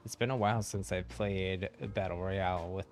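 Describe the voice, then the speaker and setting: A man's voice: sits low, fairly even intonation, normal speed, faint music behind. A man chatting casually and easily as he live-streams a game. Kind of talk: casual live-stream chat while gaming.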